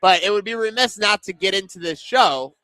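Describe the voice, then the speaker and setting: A man's voice: high voice, brisk, bright, forceful tone, no room sound. A young man co-hosting a sports pre-game show from a pizza pub, chatting and joking casually.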